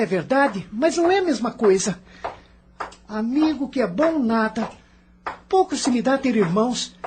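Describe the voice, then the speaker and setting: A woman's voice: sits high, a little mellow, slight background noise. A woman voicing a bitter, complaining thirteen-year-old boy with a Brazilian accent in an audio novel. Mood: bitter, self-pitying. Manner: complaining, sarcastic.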